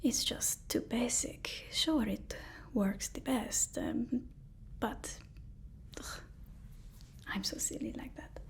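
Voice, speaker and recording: A woman whispers slowly, in an ASMR roleplay as an obsequious assistant to a supervillain. She is fussy and deferential, halting and repeating herself as she goes. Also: on the high side, gravelly. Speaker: ASMR performer.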